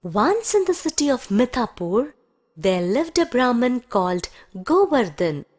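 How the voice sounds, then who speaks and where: A woman narrating a scripted children's story in a deliberate, expressive storytelling manner. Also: a little high. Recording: music under the voice.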